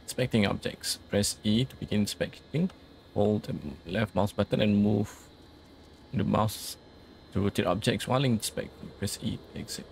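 Low, creaky-voiced man doing game commentary. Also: normal speed, a little hiss or hum. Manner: murmured thinking aloud, reads on-screen instructions. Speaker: gaming video host. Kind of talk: casual let's play commentary.

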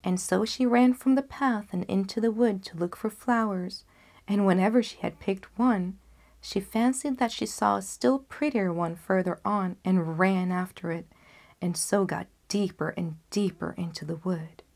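A young adult woman reading a fairy tale aloud in an expressive storytelling style. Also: medium pitch, a little slow, creaky.

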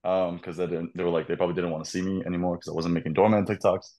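Fast-talking young man on a video call. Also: low-pitched. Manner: animated storytelling, laughs often. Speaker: podcast guest, content creator. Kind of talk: casual interview chat.

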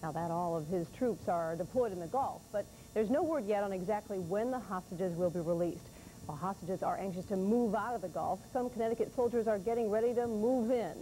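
Female studio anchor, thirties, warm mid-range voice reading the news, slight tape hiss. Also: mid-range voice, low-quality recording. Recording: faint hiss, old TV broadcast.

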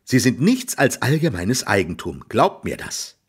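A man's voice: mid-range voice, wildly swooping pitch, measured pace, slightly rough, voice pushed up, exaggerated voice. A man voicing a cheeky, glib sixteen-year-old boy who argues from a tree in an audiobook. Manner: cheeky, argumentative. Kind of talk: audiobook character voice.

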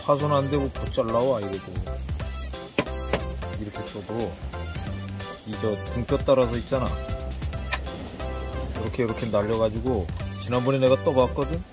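A man's voice: mid-range voice, normal speed, pauses often, loud music behind the voice. A man casting a net on a beach, chatting casually in short, blunt remarks. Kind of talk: casual chat. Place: sandy beach by the sea, outdoors.

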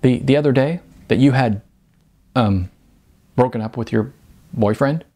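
A man voicing a shy, awkward writer in a storybook reading, halting and stammering as he talks. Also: mid-range voice, warm tone, close to an everyday voice. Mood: awkward, nervous.

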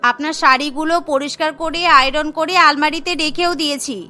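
A woman's voice: high voice, steady tone, moderate pace, faint music behind. A woman voicing a scheming young daughter-in-law who is sly and greedy, with a wheedling, bossy delivery. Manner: wheedling, then bossy and sharp.

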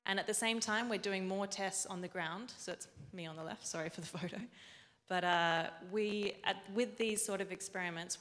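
A woman's voice: fairly low pitch, fairly bright tone. Young woman presenting in an echoing hall. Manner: clear explanatory, quick self-corrections. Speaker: robotics engineer giving a talk. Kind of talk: conference presentation. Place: large event hall with audience. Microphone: handheld mic. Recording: long hall reverb.

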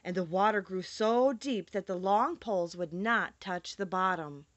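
A woman's voice: mid-range voice, measured pace, no echo. A woman reading a children's storybook aloud as an audiobook narrator. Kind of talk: expressive scripted storybook narration.

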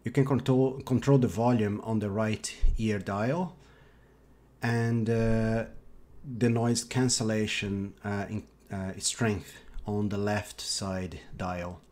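A man in his thirties giving a casual tech product review with hesitant, halting phrasing. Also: fairly low pitch, flat delivery, a little mellow. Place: small home office, indoors.

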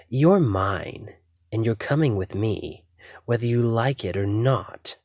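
Man playing a slow, low-voiced possessive vampire. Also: darkish tone, slightly rough, no echo, studio quality, full character voice. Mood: menacing, commanding. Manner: slow, deliberate, condescending, commanding. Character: possessive, obsessive male vampire, smugly menacing. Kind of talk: ASMR roleplay, scripted acting.

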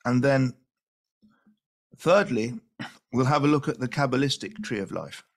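Older male presenter from Venezuela, soft and unhurried, heard over a video call. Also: mid-range voice, expressive. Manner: soft, unhurried, repeats words while thinking. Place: home room with window.

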